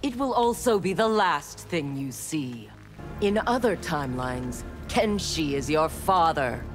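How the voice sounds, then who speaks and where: A woman's voice: deep voice, sing-song, measured pace. Proud, cold armored warrior woman. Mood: cold disdain. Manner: curt, disdainful, threatening. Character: proud armored female ninja warrior and engineer, cold, confident. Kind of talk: video game fight intro dialogue. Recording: music behind.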